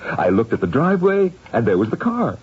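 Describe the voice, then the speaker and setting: An actor playing a hesitant, placating middle-aged husband in an old-time radio drama, with a rough, husky voice. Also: male voice, mid-range voice, huge pitch swings, brisk. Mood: cheerful. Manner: hesitant, mild. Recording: muffled old radio recording.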